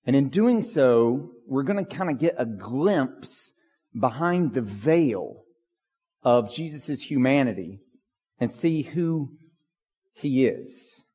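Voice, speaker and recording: A male pastor with a hoarse voice, praying and then preaching in a loose, conversational way in a church sanctuary. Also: mid-range voice, expressive, takes it slowly, mellow. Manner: conversational, loose, thinking aloud. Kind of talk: prayer and sermon. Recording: long reverb of a large hall.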